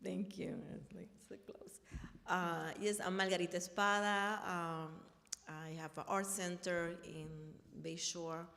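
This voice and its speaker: A woman's voice: on the low side, steady tone, measured pace, creaky, a bit bright, long reverb tail. A middle-aged woman from Puerto Rico with a Puerto Rican Spanish accent, earnestly telling her story at a panel and often restarting while she looks for words. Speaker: panel speaker, artist and activist. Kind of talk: personal talk at a panel.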